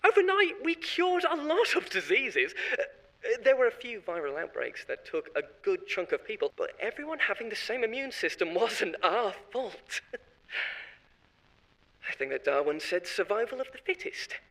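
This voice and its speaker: A man's voice: high voice, sing-song, normal speed, fairly bright tone, full character voice, poor audio. An actor playing a boastful, self-satisfied former corporate consultant who chats on and laughs at his own jokes. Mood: smug, chatty. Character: smug, boastful male corporate insider. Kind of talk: audio drama monologue.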